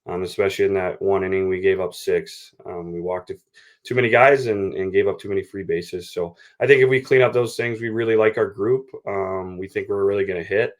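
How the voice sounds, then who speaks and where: Low, even-toned man, coach in interview. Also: fairly quick. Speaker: baseball coach being interviewed. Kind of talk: conversational sports interview.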